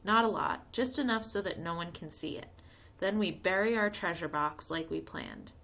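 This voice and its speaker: A woman's voice: lowish voice, moderate pace, vocal fry, fairly bright tone. Casting: cocky young boy gang leader for a storybook, breezy and slangy, voiced by a woman reader. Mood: confident. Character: confident, playful boy who plays the spy leader. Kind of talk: read-aloud children's storybook.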